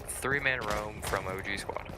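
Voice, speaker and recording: A man's voice: fairly low pitch, measured pace, noisy background. A man giving live esports analysis as a co-commentator, conversational and opinionated.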